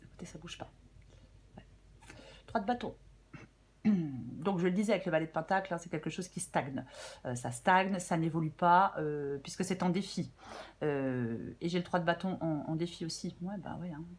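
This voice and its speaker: Slightly hoarse French woman reading tarot. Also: lowish voice. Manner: halting, thinking aloud with many fillers and restarts. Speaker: tarot reader. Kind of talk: casual tarot reading to viewers.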